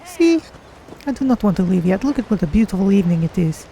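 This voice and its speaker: A streamer voicing a gentle cat-folk adventurer in a video game roleplay, speaking in deliberate, formal phrases as if musing aloud. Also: mid-range voice, big pitch swings, vocal fry, slight background noise. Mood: musing, calm.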